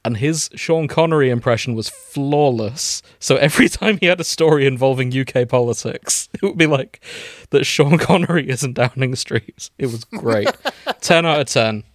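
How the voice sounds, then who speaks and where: A British man co-hosting a podcast, chatting in a giggly, relaxed way. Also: mid-range voice, moderate pace, few pauses, dry recording, clean studio sound. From Britain. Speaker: podcast co-host. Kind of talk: casual chat.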